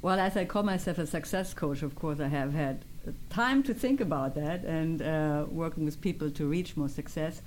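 A woman success coach calmly explaining her ideas in a studio interview. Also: sits low, slight background noise. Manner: calm, measured, explanatory.

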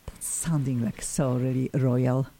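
A woman's voice: rumbling low, little pitch movement, unhurried, dark tone, a little hiss or hum. A woman with a Polish accent hosting a casual talk show in a chatty, effusive, digressive way. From Poland. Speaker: radio show host. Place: radio studio.

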